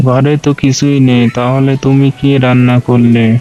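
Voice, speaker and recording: A man playing a flat-toned poor village husband. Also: medium pitch, normal speed, muffled recording, natural voice. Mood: dejected. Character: poor, worried village day labourer, a husband. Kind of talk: Bengali cartoon folk tale acting. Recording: music under the voice.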